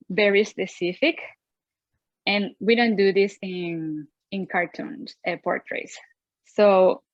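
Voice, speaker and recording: A woman's voice: mid-range voice, no echo, highs cut off. A young woman with a Venezuelan Spanish accent, a native Spanish speaker, teaching an online drawing class in a cheerful manner and laughing often. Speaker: art teacher.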